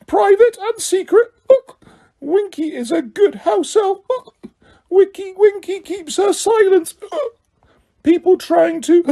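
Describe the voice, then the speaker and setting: A man voicing a tiny female house elf who is drunk and crying, with a squeaky, slurred, halting voice. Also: dark tone, exaggerated voice. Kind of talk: audiobook character voice.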